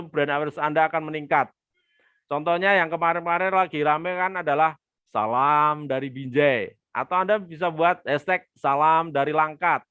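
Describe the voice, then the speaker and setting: A man coaching small-business owners over a live stream, his voice a little high and smooth. He talks in a friendly, chatty way, calling viewers friends and answering their comments. The sound is dry and slightly muffled. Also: pushed and bright, no room sound. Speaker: business trainer hosting a live webinar. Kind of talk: live-stream teaching with viewer shout-outs.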